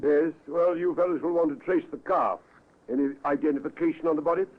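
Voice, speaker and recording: A clinical doctor acted by a man in a vintage radio mystery, matter-of-fact and somewhat high in pitch. The sound is narrow and tinny, like a telephone. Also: steady tone, a little fast, close to an everyday voice. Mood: brisk, grave. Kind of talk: old radio drama acting. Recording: thin, phone-like vintage radio recording.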